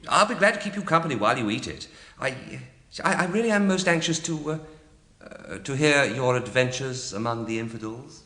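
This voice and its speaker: An actor playing a timid, apologetic parish priest in a radio play, hesitant and rambling, easily flustered. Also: male voice, medium pitch, animated, a little fast, bright, forceful tone. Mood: eager, rambling. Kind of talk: radio drama acting.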